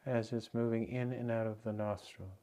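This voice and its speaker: A man's voice: medium pitch, flat delivery. Man leading a guided meditation. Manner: gentle, unhurried instruction with pauses. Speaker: meditation teacher.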